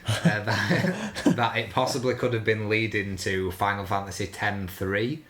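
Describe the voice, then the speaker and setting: A man's voice: on the low side, unhurried, fairly bright tone. A man co-hosting a casual panel discussion, talking in a critical, incredulous manner. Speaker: podcast co-host. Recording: roomy echo.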